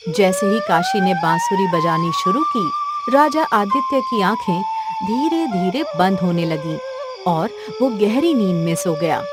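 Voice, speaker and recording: Woman narrating a kids story, very lively intonation, music in the background. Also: medium pitch. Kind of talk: scripted children's story narration.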